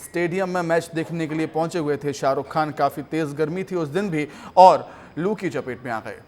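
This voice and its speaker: High-pitched male Hindi news anchor. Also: brisk, a little mellow. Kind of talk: news presenting.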